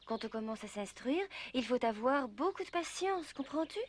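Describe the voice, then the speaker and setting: A woman's voice: sits high, expressive, normal speed. A woman voicing a kind but firm, motherly fairy in a dubbed cartoon, patient and instructive. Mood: encouraging.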